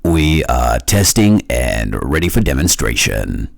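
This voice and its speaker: A low-voiced, stern dystopian police soldier, put on by a man in fan game style. The delivery is measured and clipped, like an official announcement. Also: very animated, gravelly, dry recording, studio quality, exaggerated voice. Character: stern Combine metrocop soldier from a fan tutorial.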